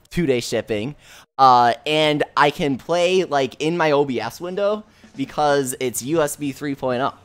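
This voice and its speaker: A young man streaming live, reading chat messages aloud in an upbeat way, talking close to his mic. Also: mid-range voice. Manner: upbeat, reads chat aloud with frequent laughs. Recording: faint game music behind. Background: game music.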